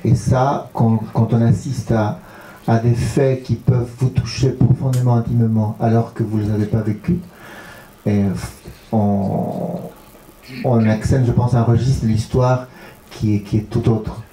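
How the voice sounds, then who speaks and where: A man, a writer, answering interview questions softly and haltingly, with many restarts. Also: mid-range voice, little pitch movement, slight background noise. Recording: roomy with faint ambience.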